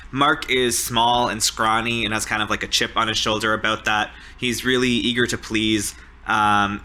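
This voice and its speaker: Very bright-voiced man at a game table. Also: lowish voice. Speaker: show regular and tabletop game player. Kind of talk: casual improvised roleplay narration.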